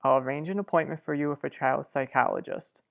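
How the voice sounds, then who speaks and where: A man's voice: a little high, a little fast, gravelly, a bit bright. Man playing a reserved, firm doctor. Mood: restrained, firm. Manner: restrained, firm, businesslike. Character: reserved, formal doctor declining to interfere. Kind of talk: old-time radio drama acting.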